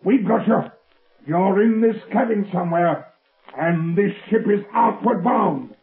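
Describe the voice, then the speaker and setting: An actor playing a tough, cocky criminal ship captain with gruff, slangy threats, in 1930s radio drama style on a tinny recording. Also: male voice, high-pitched, a little slow. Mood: defiant, threatening.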